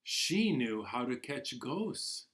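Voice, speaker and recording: A man hosting a storytime for children, reading aloud expressively in a deliberate, encouraging way. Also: medium pitch, sing-song, fairly bright tone, a little hiss or hum. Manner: slow, deliberate storytelling with dramatic pauses. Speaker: library storytime host.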